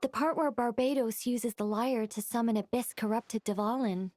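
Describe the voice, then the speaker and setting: A woman voicing a cautious, dutiful adult female angel who speaks in a measured and wry way in video game dialogue. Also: fairly high pitch, darkish tone, a little hiss or hum, lightly acted. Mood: explaining.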